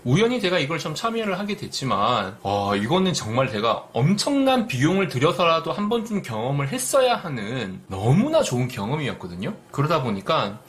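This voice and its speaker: A man's voice: a little high, wildly swooping pitch, brisk, hardly any pauses, a bit bright. A middle-aged man from Busan with a light Busan accent, giving direct, earnest business advice in a vlog. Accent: light Busan dialect. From Busan, South Korea. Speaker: business YouTuber.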